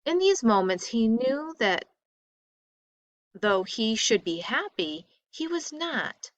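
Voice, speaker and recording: A woman teacher reading a textbook story aloud expressively, with deliberate dramatic emphasis and phrases that drop away softly at the end. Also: a little high, big pitch swings, no room sound, highs cut off.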